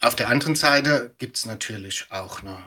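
A man's voice: mid-range voice, normal speed, sharp tone. A middle-aged man giving explanatory interview answers as an expert guest. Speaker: media educator, podcast guest.

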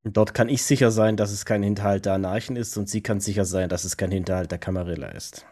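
A man playing a resolute vampire in a role-play audio drama, speaking in a measured, matter-of-fact way. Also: fairly low pitch, steady tone, dark tone. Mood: firm. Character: Nick, resolute male vampire with a dog.

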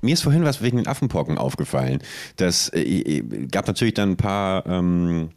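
A man's voice: low-pitched, animated, fairly quick, a little mellow. A man co-hosting a comedic podcast in casual chat, playful and rambling, often stopping and restarting. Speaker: podcast co-host.

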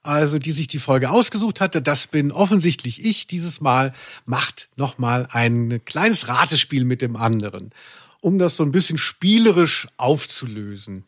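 A man's voice: mid-range voice, animated, a little slow, no echo, clean studio sound. A man hosting a chatty podcast and running a quiz in a playful, tongue-in-cheek way. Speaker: podcast host and quizmaster. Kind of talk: casual chatty podcast talk.

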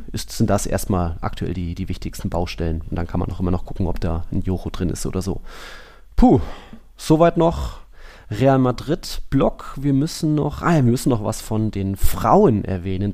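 A man's voice: medium pitch, vocal fry, mellow. A man co-hosting a casual football podcast, rambling through his analysis with frequent fillers and restarts. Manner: rambling, self-correcting analysis with many fillers. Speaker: podcast co-host.

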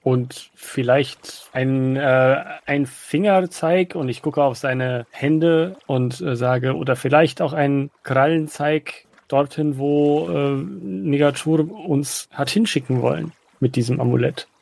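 A man's voice: mid-range voice, takes it slowly, gravelly, hardly any pauses, darkish tone. A man playing a tabletop roleplaying game, improvising in-character dialogue in formal, wordy phrasing.

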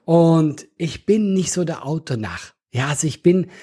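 Husky Swiss-accented man telling stories. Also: on the high side, measured pace. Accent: Swiss German. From Switzerland. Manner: animated storyteller, laughs often. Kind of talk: casual chat.

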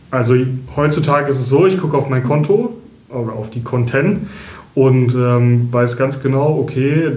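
A man as an interview guest, explaining calmly and steadily with some hesitations. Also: mid-range voice, roomy sound. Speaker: interview guest, energy consultant. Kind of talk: podcast interview.

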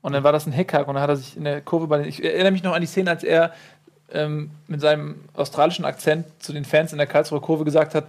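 A man's voice: on the high side, little pitch movement, quick pace. A man hosting a casual football podcast, talking with ironic jokes and plenty of fillers. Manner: joking, ironic asides, many fillers. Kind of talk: casual chat.

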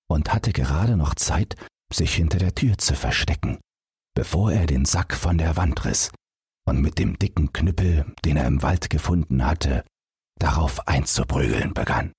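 Bedtime fairy tale narrator, man: unhurried, very husky voice with lively intonation. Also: rumbling low, no room sound, studio quality. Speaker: audiobook narrator.

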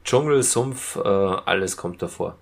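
A man casually reviewing as a podcast co-host, retelling scenes in a halting way. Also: sits low, lively intonation, gravelly. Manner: halting retelling with acted-out quotes.

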